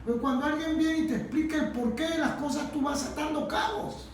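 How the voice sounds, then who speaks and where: A woman's voice: high-pitched, a little fast. A woman talking informally in a political radio discussion, emphatic and repeating phrases for stress. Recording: very echoey room, distant mic, faint noise.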